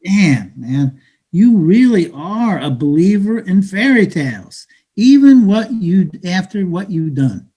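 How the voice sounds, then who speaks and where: A man in a play reading, playing a cynical, bitter, streetwise Brooklyn-born man who talks in halting slang. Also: sits high, big pitch swings, warm tone, husky, exaggerated voice. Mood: scornful, knowing. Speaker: stage actor.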